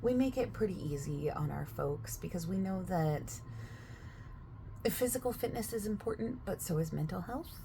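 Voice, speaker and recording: A woman talks quietly and at length, overexplaining like a gym clerk in an ASMR roleplay. Her voice sits low with plenty of vocal fry, and she keeps drifting from soft speech into whispers. A faint hiss runs underneath. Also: animated, normal speed. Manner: soft-spoken, slipping into whispers mid-sentence; chatty, overexplaining. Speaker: gym staff member in a roleplay.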